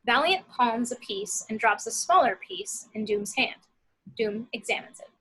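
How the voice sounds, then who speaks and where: Bright, high-voiced woman narrating. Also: animated, measured pace, slightly rough, rough recording. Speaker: narrator reading action descriptions. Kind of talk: scripted screenplay narration.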